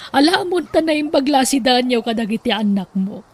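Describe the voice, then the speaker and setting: An actress with an Ilocano accent playing a kindly, generous well-off employer in a radio drama. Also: female voice, high voice, expressive, fairly quick, slightly rough.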